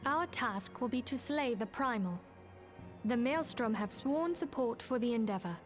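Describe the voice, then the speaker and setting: A composed, earnest female leader from a fantasy game. Her voice is a little high, and she delivers formal, measured briefings over faint music. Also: animated. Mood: grave, composed. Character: composed, earnest woman leading a band of heroes. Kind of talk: scripted fantasy game dialogue.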